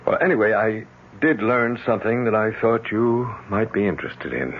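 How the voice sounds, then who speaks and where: A protective male physician in a vintage radio play. His voice sits on the low side, measured and doubting. The recording is thin and phone-like, with clear noise. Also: expressive. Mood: measured skepticism. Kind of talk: old-time radio drama acting.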